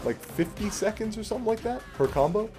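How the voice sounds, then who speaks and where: A man's voice: high-pitched, animated, fast. A man giving casual, explanatory commentary as he plays a game, with a wry way of talking. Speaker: let's play host playing the game. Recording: game music behind.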